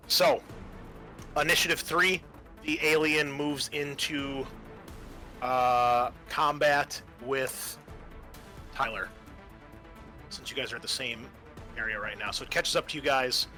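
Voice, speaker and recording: Guy gm-ing a tabletop rpg, kinda halting as he explains, bright voice, bit of music in the back. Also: medium pitch. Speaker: game master. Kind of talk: running a tabletop role-playing game.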